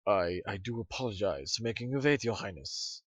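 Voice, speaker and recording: A man's voice: fairly low pitch, lively intonation, a little fast, dry recording. A man playing an earnest, bookish male pony researcher in an audio drama, polite, deferential and a little hesitant. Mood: apologetic. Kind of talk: scripted audio drama acting.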